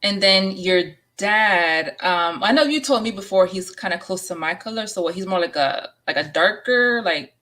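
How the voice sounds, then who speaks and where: A woman's voice: medium pitch, lively intonation, moderate pace, few pauses, sharp tone. A woman interviewing a guest in a casual chat, asking curious follow-up questions. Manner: curious, prompting with short replies.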